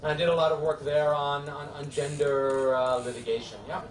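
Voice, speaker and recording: A law professor with a New York accent gives a friendly, halting classroom lecture full of filler sounds. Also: male voice, fairly high pitch, little pitch movement, slight background noise. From United States. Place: lecture hall. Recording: echoey hall from far off.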